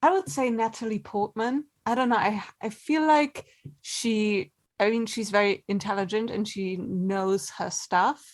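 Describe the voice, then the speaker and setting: Woman with mid-pitched, earnest casual voice. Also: unhurried. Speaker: actor being interviewed. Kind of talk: casual chat.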